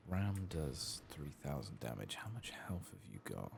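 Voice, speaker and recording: Very deep, soft-spoken British man. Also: big pitch swings, measured pace, creaky, noisy background. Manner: soft-spoken, murmuring, sometimes whispering. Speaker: host of gaming playthrough. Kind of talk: soft-spoken ASMR game commentary.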